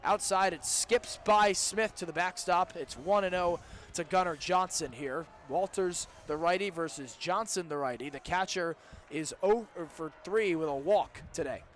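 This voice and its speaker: High-pitched young man calling baseball live. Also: a little slow. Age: young adult. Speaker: baseball play-by-play broadcaster. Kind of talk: live radio baseball commentary. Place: ballpark broadcast booth with open window. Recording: live outdoor broadcast with background noise.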